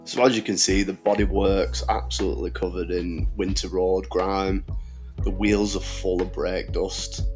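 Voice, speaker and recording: A man's voice: deep voice, little pitch movement, measured pace, darkish tone. A man giving a plain, matter-of-fact voice-over for a car cleaning vlog. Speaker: car detailing channel host. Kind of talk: voice-over narration. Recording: voice-over with music underneath. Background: music.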